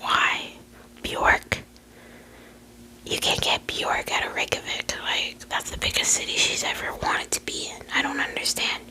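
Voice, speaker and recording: A woman's voice: sharp tone, low-quality recording. A young woman whispering close to the mic, rambling, swearing freely, full of fillers. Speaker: vlogger. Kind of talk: whispered casual chat.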